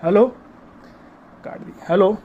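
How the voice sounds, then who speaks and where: A man from India, arguing over the phone in a pleading, reproachful and insistent way. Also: slow. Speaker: caller. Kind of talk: confrontational phone conversation. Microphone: phone call. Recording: noisy recorded phone call.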